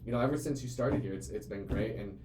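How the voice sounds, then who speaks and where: A man's voice: lowish voice, fast talker, dark tone. A man in his late twenties, hosting a casual business podcast, talking earnestly in long rambling runs with many fillers and repeated words. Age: 20s. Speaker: CEO and show host. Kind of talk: casual conversational podcast chat. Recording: roomy echo, faint ambient noise.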